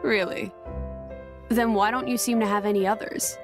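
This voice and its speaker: An actress playing a young, earnest heroine in a video game, restrained and directly questioning. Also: female voice, medium pitch, steady tone, normal speed, close to an everyday voice. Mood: searching, sceptical. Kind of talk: dramatic video game voice acting. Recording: orchestral music behind.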